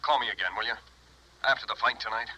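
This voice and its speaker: A man's voice: medium pitch, fast talker, background noise, lightly acted. An actor playing a worried insurance company man in a 1950s radio drama, speaking urgently and pleading. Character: worried male insurance company boss, earnest. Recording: vintage radio drama recording.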